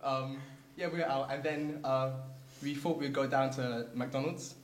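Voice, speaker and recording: A man's voice: mid-range voice, steady tone, unhurried, echoey room, slight background noise. A young man telling a story on a panel game, hesitant with many ums. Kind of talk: casual anecdote.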